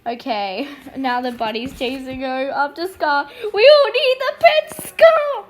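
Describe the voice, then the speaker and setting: A woman's voice: high-pitched, lively intonation, measured pace. A woman giving casual, playful and silly gameplay commentary, reading out her roleplay as she goes. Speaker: video game streamer.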